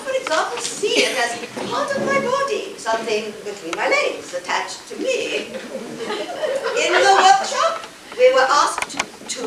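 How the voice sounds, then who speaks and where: An actress playing an earnest, awkward, wonder-struck woman in a theatrical stage monologue, savoring her words with deliberate pauses. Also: sits high. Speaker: stage actor. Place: college theater stage before an audience. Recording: echoey theater, distant mic, noisy hiss.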